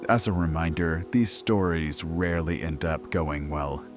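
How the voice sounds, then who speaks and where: Man playing a very deep-voiced late-night radio host. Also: steady tone, unhurried. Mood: wry, probing. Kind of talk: scripted horror radio drama. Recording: music under the voice.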